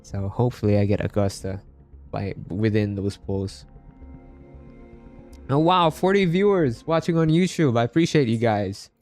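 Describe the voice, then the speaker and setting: A man's voice: mid-range voice, animated, normal speed, darkish tone. A man from the Philippines livestreaming a game, chatting casually with his viewers. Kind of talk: casual chat with live viewers while gaming. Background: game music.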